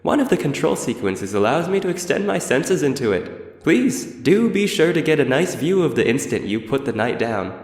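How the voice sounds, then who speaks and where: A man's voice: a little high, very animated, moderate pace, pitched above the performer's own voice. Guy doing a cunning old undead elf, polite but threatening, echoey room. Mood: coolly menacing. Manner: polite, formal, coolly threatening. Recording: reverberant roomy echo.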